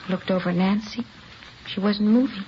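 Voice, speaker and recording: A woman's voice: medium pitch, fairly even intonation, fairly quick, lightly acted. An actress playing an evasive, weary young mother under questioning in a vintage radio crime drama, defensive and pleading. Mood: resigned, sorrowful. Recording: dull, noisy vintage radio recording.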